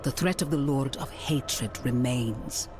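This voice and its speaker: Slow, imperious older woman church leader. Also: very deep voice. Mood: solemn, conciliatory. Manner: formal, deliberate, imperious. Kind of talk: dramatic video game acting. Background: cinematic background music.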